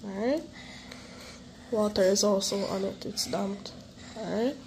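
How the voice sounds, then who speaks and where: A woman's voice: medium pitch, a little slow, vocal fry, a little mellow, slight background noise. A young woman with the flu, stuffy-voiced, patiently coaxing her child through homework at home. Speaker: mother and vlogger. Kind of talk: casual home vlog, helping a child with homework.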